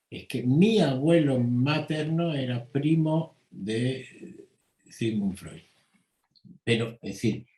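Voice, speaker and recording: An older man with an Argentine Spanish accent, a psychoanalyst answering interview questions slowly and deliberately, with frequent pauses and fillers. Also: medium pitch, warm tone, roomy sound, rough recording. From United States. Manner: slow, measured, with many pauses and fillers. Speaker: psychoanalyst guest.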